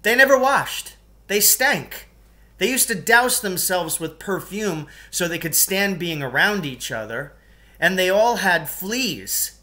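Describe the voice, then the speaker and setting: A man's voice: sits high, animated, slow, bright, forceful tone, voice pushed up, full character voice. A man voicing a defiant, cocky schoolboy in a storybook, speaking bluntly and with emphasis. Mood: gleefully provocative. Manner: blunt, emphatic, mock-formal threats.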